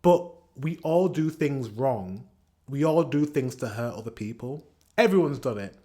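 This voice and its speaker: A man's voice: medium pitch, lively intonation, a little slow, warm tone. A British man giving casual, opinionated commentary as a reaction host, chatty and given to acting out examples. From England. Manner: chatty, acts out example exchanges.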